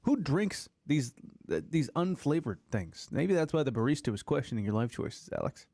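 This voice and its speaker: A man's voice: mid-range voice, very animated, normal speed, vocal fry, darkish tone, no echo. A man co-hosting a radio morning show, teasing his colleagues in wry, mock-disgusted banter. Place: radio studio. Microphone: studio microphone.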